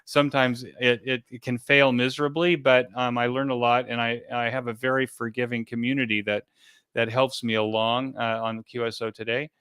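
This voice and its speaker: A man with a clear, smooth voice answers as a guest in a conversational interview. He explains things haltingly, pausing and repeating words as he goes. The sound is dry, with very little room in it. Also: mid-range voice, flat delivery, a bit bright, dry recording. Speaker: interview guest, event organizer.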